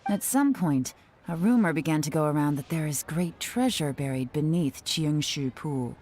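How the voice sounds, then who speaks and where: A woman voicing a composed female intelligence agent in a video game, speaking in a calm, measured way. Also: low-pitched. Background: game music.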